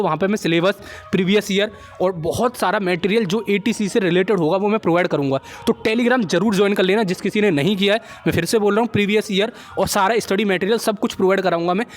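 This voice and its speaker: A young man with an Indian accent hosting an informal explainer, talking to viewers like friends and often checking that they follow. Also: high-pitched. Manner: chummy, addresses viewers as friends, repeats check phrases. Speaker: job-update video host. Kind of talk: informal explainer of a recruitment notice.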